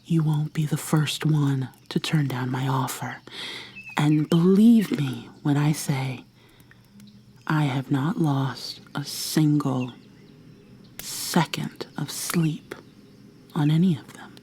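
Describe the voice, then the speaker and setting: A woman playing an obsessive, coldly possessive killer in an intimate ASMR roleplay, soft and often whispery, with a deliberate, mock-sweet, threatening manner. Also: low-pitched, slight background noise. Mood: possessive threat. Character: obsessive, menacing female serial killer lover, coldly possessive.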